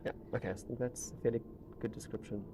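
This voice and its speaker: A man's voice: on the low side, fairly even intonation, quick pace. A man chatting casually as a player in a tabletop game, hesitant and self-deprecating. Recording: noisy room with background ambience.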